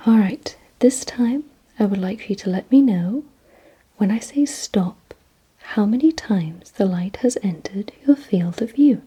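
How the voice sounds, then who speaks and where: Woman doing whispered asmr roleplay, super breathy, slow n careful instructions. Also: mid-range voice, lively intonation, poor audio. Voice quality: breathy, mostly unvoiced whisper. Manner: slow, hushed, deliberate instructing. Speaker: ASMR performer.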